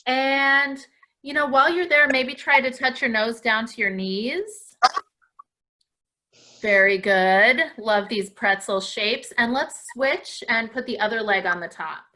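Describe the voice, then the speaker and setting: A woman hosts a live movement class for an audience at home. Her voice sits fairly high and sounds very bright and forward. She instructs in an encouraging, praising manner. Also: takes it slowly. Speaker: dance class host leading children's stretches.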